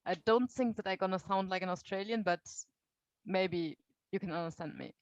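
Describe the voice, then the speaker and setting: Young woman, German accent, friendly, halting with fillers, dry sound, dull lo-fi call audio. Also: mid-range voice, no room sound. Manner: friendly, searching for words with many fillers. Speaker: guest speaker. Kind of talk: online talk to a meeting.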